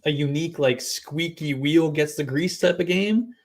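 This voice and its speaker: Brisk man rattling off football stats. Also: on the high side. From New England. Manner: brisk, stat-heavy delivery. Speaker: fantasy football podcast host. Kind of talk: casual sports analysis chat.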